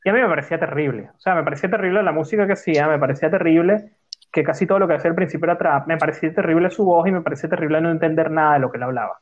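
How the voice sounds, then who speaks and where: Man from Venezuela, high voice, chatty storyteller, dull recording. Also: rapid-fire. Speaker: podcast host. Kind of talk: casual chat, leading a music playlist talk. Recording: dull lo-fi sound.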